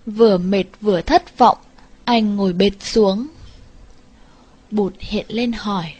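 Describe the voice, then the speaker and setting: A woman narrating a scripted fairy tale as an expressive storyteller. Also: medium pitch, slow, slightly rough, muffled recording.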